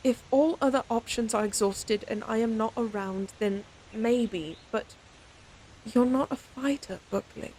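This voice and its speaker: A woman's voice: fairly high pitch, a little slow, darkish tone, a little hiss or hum, lightly acted, low-quality recording. A woman playing a proud, stern lady knight in an audio roleplay, scolding her companion in an exasperated but secretly fond way. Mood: stern, protective. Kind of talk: audio roleplay acting, speaking to the listener.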